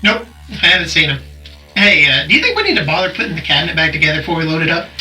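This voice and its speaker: A brisk, wry young man as a studio sidekick in an audio drama. He talks fast in a fairly high, very bright voice. His manner is casual, and music plays underneath. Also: very animated, exaggerated voice, low-quality recording. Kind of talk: naturalistic audio drama acting.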